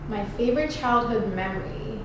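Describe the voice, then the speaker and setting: A young woman from Ghana answers questions in a loose, playful ramble, full of fillers and little laughs. She sounds set back from the microphone, and the room rings with strong echo. A steady background noise runs under her voice. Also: fairly high pitch, moderate pace. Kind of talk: casual get-to-know-me chat. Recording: echoey room, distant mic, background noise.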